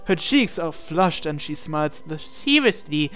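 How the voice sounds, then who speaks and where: A man's voice: a little high, sing-song, a little slow, fairly bright tone. A man reading a visual novel aloud as a gamer, breaking into casual, incredulous asides. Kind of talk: game playthrough narration with casual asides.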